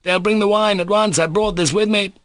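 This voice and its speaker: A man reading an audiobook voices a wild, overwrought young man who exclaims and pleads, sometimes drawing words out slowly. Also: high voice, fairly even intonation, pushed and bright, no echo, voice pushed up, heavily acted. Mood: frantic. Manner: frantic, exclaiming, slowed pleading stretches.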